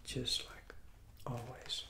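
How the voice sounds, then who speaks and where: A man in his sixties, hoarse from a cold, reviewing fast food in a soft, half-whispered ASMR style with many restarts, seated at a table indoors. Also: background noise. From Southeastern United States. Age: 60s. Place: small room at a table, indoors. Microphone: fixed camera mic at close range.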